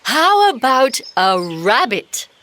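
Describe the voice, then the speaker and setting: A woman storyteller with a high, bright voice reads for children. Her delivery is extremely animated and very slow, exaggerating each simple phrase. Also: a little hiss or hum. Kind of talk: read-aloud storybook narration.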